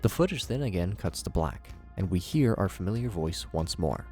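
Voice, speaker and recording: A man hosting a video review, narrating scripted commentary in an expressive, conversational presenter's manner. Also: sits low, moderate pace, faint music behind. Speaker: YouTube host, horror series reviewer.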